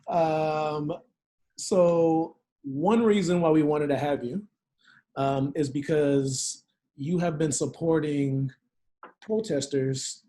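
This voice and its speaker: Male interview host, slightly higher pitch, friendly and conversational. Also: mellow. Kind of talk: interview questions.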